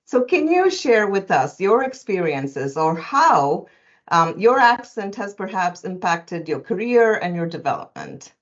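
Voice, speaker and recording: A woman's voice: medium pitch, sing-song, a little slow, lo-fi sound. A woman from India co-hosting, welcoming a guest and asking questions expressively. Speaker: co-host.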